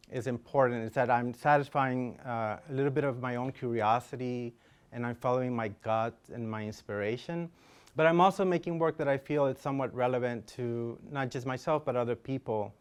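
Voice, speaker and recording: A man from Honduras speaking as a guest artist in an informal lecture, hesitant, humble and candid, with a smooth, clear voice. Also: mid-range voice. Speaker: fine-art photographer, guest speaker.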